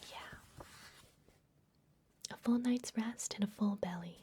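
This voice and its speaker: A woman whispering gently and unhurriedly in a breathy voice, playing a kindly vampire librarian in an ASMR fantasy roleplay. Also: medium pitch, takes it slowly, slight background noise. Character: kindly vampire librarian hosting a human guest. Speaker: ASMR performer.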